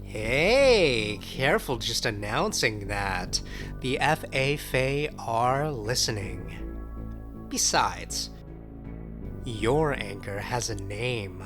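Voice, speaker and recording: An actor playing a gruff, sarcastic but protective partner in an audio drama, talking in a blunt, sweary, dry way. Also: mid-range voice, expressive, very slow, music in the background. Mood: sarcastic banter.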